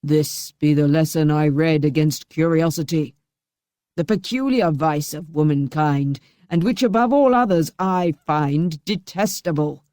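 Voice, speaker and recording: A woman reading the part of a cruel, menacing husband, drawing out his threats slowly and heavily. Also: sits low, mellow, no echo, studio quality.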